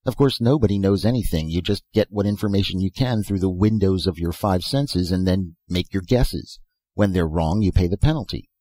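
A man playing a skeptical, practical-minded fellow who answers in blunt, gruff retorts, in a storybook reading. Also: low voice, moderate pace, dark tone, no room sound.

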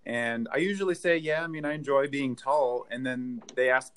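A man in his thirties delivers deadpan stand-up one-liners into a webcam from his living room. His voice sits at an ordinary middle pitch and speaks evenly. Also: moderate pace. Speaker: stand-up comedian. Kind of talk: stand-up set. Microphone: webcam, video call framing.

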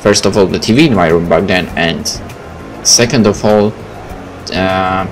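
A male gaming host, a native Polish speaker, with a fairly low voice. He reads game text and comments in a casual way. Soft music plays underneath. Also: unhurried. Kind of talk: game let's play, reading text aloud with casual commentary. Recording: faint game music behind.

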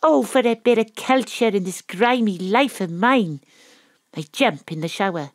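A woman's voice: mid-range voice, expressive, a little slow, dry recording, clean studio sound. A woman playing a wry, poetic private detective with a Welsh accent, narrating an audio drama in a theatrical, literary way. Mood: wry, reflective. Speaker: narrator and lead character. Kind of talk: first-person audio drama narration and acting.

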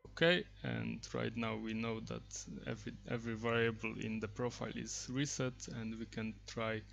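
Halting man with a creaky voice. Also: medium pitch, level tone, fairly bright tone, lo-fi sound. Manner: hesitant, halting. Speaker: app developer demonstrating software. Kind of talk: unscripted software screen demo.

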